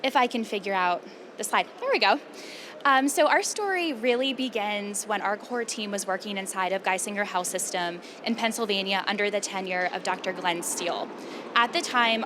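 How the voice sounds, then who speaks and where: A woman, a company vice president, giving a conference pitch in a chatty, conversational way. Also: background noise.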